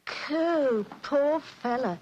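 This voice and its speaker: Brash Cockney gossip, high, swooping voice, working-class, old-time radio drama. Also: female voice, expressive, extremely slow, a little mellow, highs cut off, slight background noise, exaggerated voice. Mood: chatty. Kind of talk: 1940s radio drama acting.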